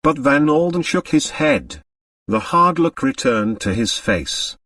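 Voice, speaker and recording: A man's voice: fairly high pitch, animated, slow pace, bright, forceful tone, no echo, clean studio sound, distant mic. A man reading a novel aloud as scripted, dramatic audiobook narration.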